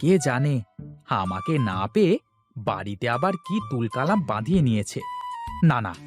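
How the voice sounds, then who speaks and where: Animated, food-loving village nephew man acting. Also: medium pitch, normal speed, natural voice. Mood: fretful. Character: sleepy food-loving nephew, fretful henpecked husband. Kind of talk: animated cartoon story voice acting. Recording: music behind the voice.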